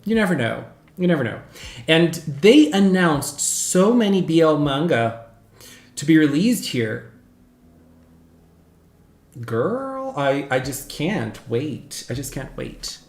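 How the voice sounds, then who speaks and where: Animated man streaming in an echoey room. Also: on the high side, takes it slowly, long pauses. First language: Portuguese. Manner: animated, gushing, squeals greetings to viewers. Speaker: livestream host. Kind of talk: casual chat with viewers. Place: home room. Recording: echoey room with faint background music.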